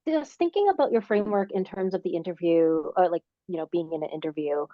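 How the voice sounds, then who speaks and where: A woman's voice: lowish voice, fast, dry recording. A woman participant asking a question in an online workshop on a video call, hesitant and searching for words. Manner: hesitant, many fillers and restarts. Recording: dull lo-fi video-call audio.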